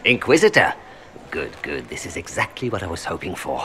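Suave French-accented merchant, swooping intonation. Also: male voice, deep voice, huge pitch swings, fairly quick, fairly bright tone, a little hiss or hum, heavily acted. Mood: pleased. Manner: courtly, formal, smoothly unapologetic. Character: suave, calculating Orlesian merchant seeking noble influence. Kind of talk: video game dialogue acting.

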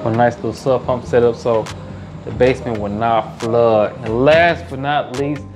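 A man's voice: medium pitch, steady tone, moderate pace, music in the background. A man gives a casual, upbeat house tour in bare indoor rooms, repeating his catchphrases. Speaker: realtor and house flipper. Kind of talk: walkthrough house tour vlog.

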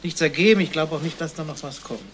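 A male actor plays a dogged chief detective in a radio play. The voice is on the higher side for a man, halting and repetitive as he puzzles and probes. Light noise sits behind it. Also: close to an everyday voice. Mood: insistent. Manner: halting, questioning, repeats himself. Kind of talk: German crime radio play acting.

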